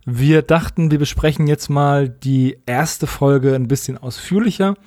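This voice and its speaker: Male podcast co-host, slightly higher-pitched, dry close recording, casual wry review chat. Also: a little slow, a little mellow, no room sound.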